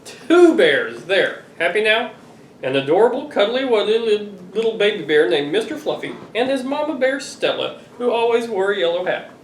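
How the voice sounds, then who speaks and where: Expressive dad reading aloud, roomy distant sound. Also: male voice, sits high, moderate pace. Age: middle-aged. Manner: expressive, playfully exasperated. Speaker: father reading to his children. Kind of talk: animated picture-book read-aloud. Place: living room sofa, indoors. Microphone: camera a few feet away. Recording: roomy, distant home recording with noise.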